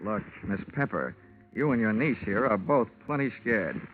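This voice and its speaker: A man's voice: fairly low pitch, animated, normal speed, close to an everyday voice. A man playing a wisecracking hard-boiled insurance investigator who narrates his case in a dry, clipped manner in a 1950s radio drama. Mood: wry. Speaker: narrator and lead detective. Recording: muffled old radio broadcast with music.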